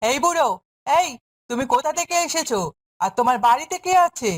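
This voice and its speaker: A high-voiced, domineering cartoon stepmother, played by a woman. She scolds harshly and sneers as she gives orders, a cruel fairy-tale tyrant of the household. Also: lively intonation, brisk. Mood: angry nagging.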